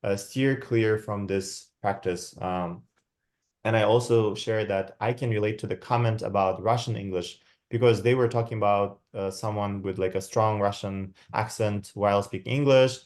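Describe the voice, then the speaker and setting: A man's voice: on the low side, fairly even intonation, darkish tone. Young man, lecturing. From Kazakhstan. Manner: checks in with frequent tag questions; measured, reflective, with many fillers. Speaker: guest lecturer. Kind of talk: academic talk walking through slides.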